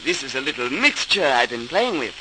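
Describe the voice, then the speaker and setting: An actor playing an earnest, modest research chemist, hesitant and deferential, in 1940s radio drama style. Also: male voice, mid-range voice, big pitch swings, a little fast, natural voice. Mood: eager, hesitant. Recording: noisy crackling old radio recording.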